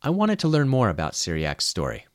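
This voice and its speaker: A man's voice: medium pitch, huge pitch swings, fairly quick, no echo, clean studio sound. A man with an American accent, speaking as a podcast host who narrates and interviews. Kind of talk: scripted narration and interview questions.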